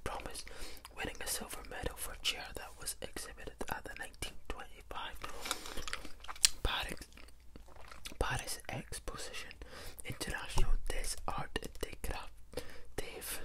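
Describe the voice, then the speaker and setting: A man with a slight cold, hosting an ASMR video in a slow, croaky whisper while reading aloud at a table. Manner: soft unvoiced whisper, unhurried. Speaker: ASMR channel host. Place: indoors at a table.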